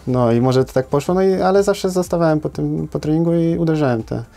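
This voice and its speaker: Footballer guest in his 30s, hesitant, mellow low timbre, small studio. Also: fast talker, vocal fry. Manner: hesitant, many fillers and tag questions. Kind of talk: casual interview answers. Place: small studio with brick wall.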